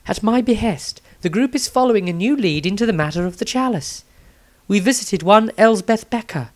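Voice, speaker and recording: A man voicing an earnest, compassionate woman healer, reading her fantasy diary in a measured, analytical way. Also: sits high, lively intonation, pitched above the performer's own voice. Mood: matter-of-fact. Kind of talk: storybook fantasy diary narration.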